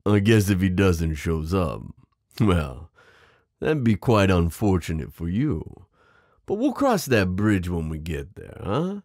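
A man voicing a smooth, possessive mafia boss in an ASMR roleplay, menacing yet protective, teasing the listener with pet names. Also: low voice, very animated, slow, darkish tone, no echo, clean studio sound, exaggerated voice. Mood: firm, condescending. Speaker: audio roleplay performer. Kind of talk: ASMR roleplay drama acting.

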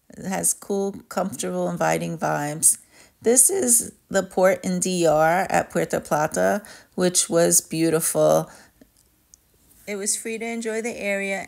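A woman narrates a travel vlog as a casual, personal review. Her voice sits fairly low for a woman and has a clear creaky fry running through it. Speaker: travel vlogger. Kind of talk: casual voice-over review of a cruise trip.

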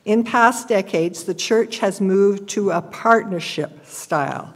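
A woman's voice: medium pitch, slow pace. A woman, reading a prepared report plainly and carefully at a church lectern. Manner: plain, careful, matter-of-fact. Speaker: church member giving a report. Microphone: gooseneck lectern microphone. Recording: reverberant church hall.

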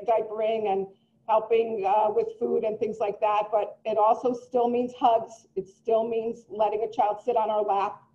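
A middle-aged woman speaks earnestly to parents from her home over a video call, reassuring and full of little ums. Her voice is mellow and unforced. The laptop microphone makes it sound muffled and lo-fi. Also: fairly high pitch. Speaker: preschool director. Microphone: laptop mic on a video call. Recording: dull lo-fi video call audio.

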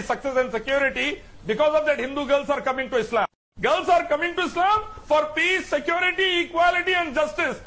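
A man's voice: very high voice, pushed and bright. A man making an insistent argument, listing points one after another. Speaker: debate speaker. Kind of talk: televised argument.

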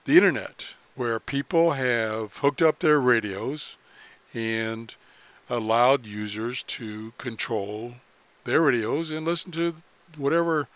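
A man with a mid-range voice talks through an on-screen demonstration in a casual, unscripted way. He sounds like a hobbyist explaining things as he goes. His phrasing is halting, with pauses partway through sentences. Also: mid-range voice, a bit bright, no room sound. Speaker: hobbyist presenter of a shortwave radio tutorial.

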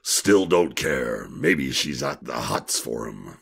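A man playing a gruff, grumpy tough time traveller in an audio drama, with a gravelly, husky voice and blunt, grumbling delivery. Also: very deep voice, sing-song, slow pace, heavily acted. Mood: indifferent.

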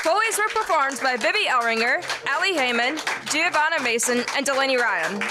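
A woman's voice: high voice, animated, brisk. A teenage girl reading scripted announcements as the announcer of a radio show. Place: school library room, stage microphone. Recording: loud music under the voice. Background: loud music.

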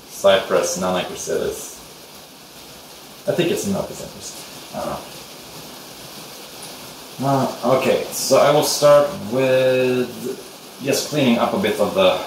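Soft, slow Swedish man in rainy sunroom. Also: lowish voice. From Sweden. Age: young adult. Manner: hesitant, thinks aloud while working, many fillers. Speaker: bonsai hobbyist vlogger. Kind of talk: casual hands-on tutorial chat. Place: small glassed sunroom indoors with rain outside. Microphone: camera mic from a distance. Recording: echoey distant camera audio with rain hiss. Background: steady rain noise.

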